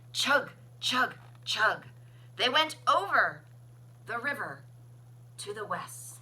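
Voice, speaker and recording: A woman reading a children's picture book aloud as a librarian, with expressive, sing-song, deliberate phrasing. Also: fairly high pitch, slightly rough, rough recording. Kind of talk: children's storybook read-aloud.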